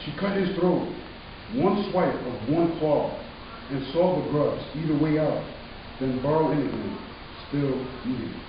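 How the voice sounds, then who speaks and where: A man's voice: on the high side, a little slow, dull audio, natural voice. Echo-drenched man playing a bitter young brother. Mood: haunted. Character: bitter, taunting older brother, haunted and defiant young man. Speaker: stage reader. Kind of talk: dramatic reading. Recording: echoey room from far off, hissy.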